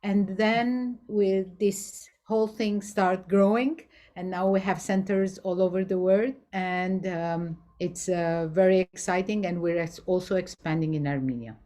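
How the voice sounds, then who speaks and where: Woman panelist from Lebanon, low mellow voice, halting with many fillers. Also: steady tone. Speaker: panelist, education founder. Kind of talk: panel self-introduction.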